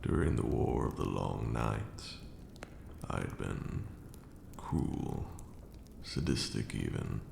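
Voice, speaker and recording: A man playing an ancient vampire lord, a stern yet caring mentor, speaking in formal, measured, archaic phrases in an ASMR roleplay. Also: lively intonation, full character voice. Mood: regretful, tender. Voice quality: gravelly, very deep. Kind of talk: ASMR roleplay, slow intimate monologue. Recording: roomy echo with audible background noise.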